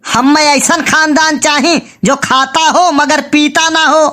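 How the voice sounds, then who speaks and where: A woman plays a skeptical cartoon villager with a high, bright, cutting voice and an Awadhi accent. The figure is picky and argumentative, answering in dry, colloquial comic retorts. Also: steady tone, unhurried.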